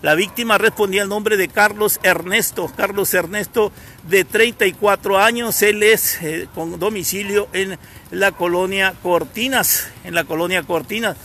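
A man's voice: high-pitched, steady tone, moderate pace, sharp tone, slight background noise. A man from Mexico reporting live from a city street crime scene, talking in an indignant, repetitive way and criticizing the authorities, recorded on a phone he holds. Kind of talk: live on-scene news commentary. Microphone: phone camera held by the reporter.

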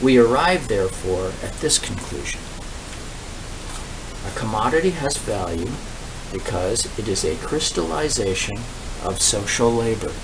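A man in his sixties reads a speech aloud outdoors, with deliberate, emphatic phrasing, pauses and repeated words. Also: on the low side. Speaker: reader of a political economy text. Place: outdoors on a brick-paved patio. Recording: distant mic, steady outdoor background noise.

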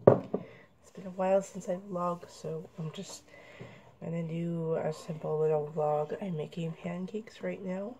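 Chatty woman with a fading, gravelly voice. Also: lowish voice, steady tone, a little slow, noisy background. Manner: chatty, talking to camera while cooking. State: losing her voice from a cold. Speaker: vlogger. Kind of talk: casual home cooking vlog. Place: small home kitchen. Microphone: camera on the counter.

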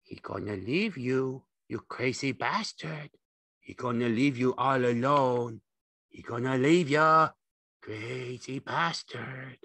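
A man's voice: mid-range voice, very animated, slow pace, a bit bright, dry recording, voice pushed up, exaggerated voice. A man voicing a gigantic imaginary rabbit in an audiobook, scornful and cruelly taunting in coarse speech. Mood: soft, menacing.

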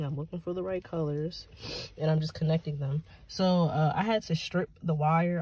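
A woman's voice: low voice, warm tone. Young American woman, informal, noisy phone recording. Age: young adult. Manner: informal, thinking aloud. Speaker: vlogger. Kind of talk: casual DIY chat to camera. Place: in and beside a parked car. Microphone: handheld phone.

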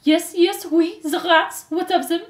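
A woman voicing an overwrought, theatrical mother mouse, pleading in drawn-out wails. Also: very high-pitched, steady tone, roomy sound, pitched above the performer's own voice. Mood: pleading, distraught.

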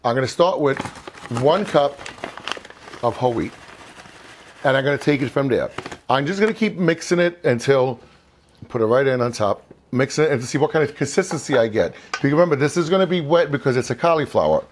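A man in his fifties talks quickly through an informal cooking demonstration. His pitch is average for a man. He is chatty and circles back to rephrase what he just said, recorded on a lapel mic in a home kitchen. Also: animated, slight background noise. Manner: chatty, repeats and rephrases himself. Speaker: cooking show host.

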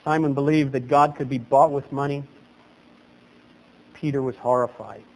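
A middle-aged man preaching a sermon as pastor at a lectern in a small chapel, animated and gesturing, his voice leaping up for emphasis. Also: mid-range voice, moderate pace, low-quality recording.

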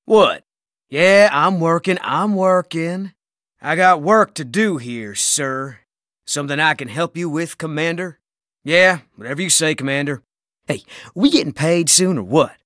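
A man's voice: on the high side, a little slow, fairly bright tone, dry recording, studio quality. An actor playing a cynical, insubordinate male spaceship crewman in a video game, with a slangy, blue-collar, sardonic manner. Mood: irritated, then respectful. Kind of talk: video game character barks.

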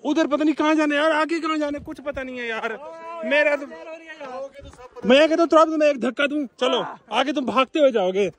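A young man filming himself at arm's length on a mountain trail, joking excitably and often out of breath. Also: very high-pitched, a little fast, crowd noise. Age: young adult. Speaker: travel vlogger. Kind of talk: casual travel vlog. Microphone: handheld phone camera at arm's length.

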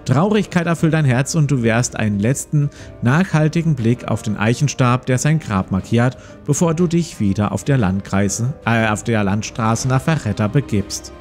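A man's voice: medium pitch, lively intonation, moderate pace, hardly any pauses, darkish tone. A man in his forties hosting a let's play, reading a gamebook aloud and commenting casually. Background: plucked guitar music.

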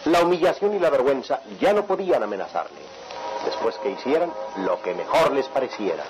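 A man's voice: mid-range voice, big pitch swings, brisk, natural voice, rough recording. A man narrating a family radionovela in a grave, dramatic storytelling style. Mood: solemn. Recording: radio drama with faint music.

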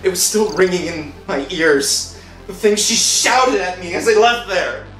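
A man in his thirties playing an anguished, down-on-his-luck young husband in old-time radio thriller style, with halting delivery. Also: high voice, expressive, a bit bright. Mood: weary. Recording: roomy, distant mic, background music.

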